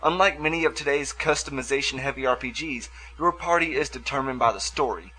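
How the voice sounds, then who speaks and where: A man's voice: mid-range voice, a little fast, sharp tone, rough recording. A man hosting a review show, reading scripted commentary on video games with music behind him.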